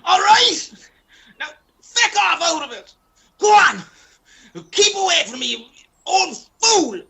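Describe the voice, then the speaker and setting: A performer in a comic radio play as an exasperated, injured Irish man, loud and wailing with indignation. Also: very high-pitched, big pitch swings, slow, exaggerated voice, low-quality recording. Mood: angry.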